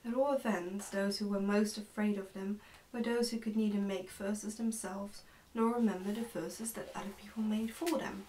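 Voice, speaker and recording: A young woman reads a storybook aloud for ASMR. Her voice is relaxed and mellow, with a soft, whispery, careful delivery. She is recorded indoors, a little way off from the microphone. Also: mid-range voice, moderate pace, few pauses, recorded from a distance, slight background noise, poor audio. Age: young adult. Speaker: ASMR narrator reading a book aloud. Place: indoors, small plain room.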